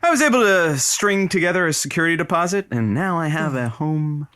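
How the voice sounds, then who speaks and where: A man's voice: a little high, expressive, a little fast, bright, forceful tone, clean tone. A man playing a goofy radio producer and sidekick who banters playfully in a scripted audio comedy. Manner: wisecracking, playful.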